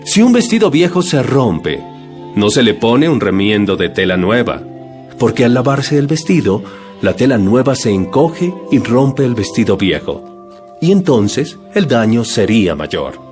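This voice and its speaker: Audio Bible drama role: male actor as a calm, authoritative teacher, measured, mid-pitched and varied in melody, over music. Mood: instructive.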